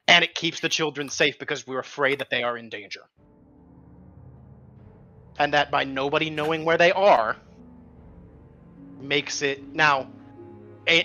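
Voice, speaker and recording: A man's voice: a little high, bright, forceful tone, muffled recording. A man talking at length as a tabletop game player, casually arguing out strategy with some mild swearing. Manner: talkative, argues points at length, mild swearing.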